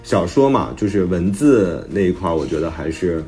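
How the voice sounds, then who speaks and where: A man's voice: low-pitched, expressive, normal speed, mellow, soft background music. A man speaking casually, as a guest author talking on a show. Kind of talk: casual chat.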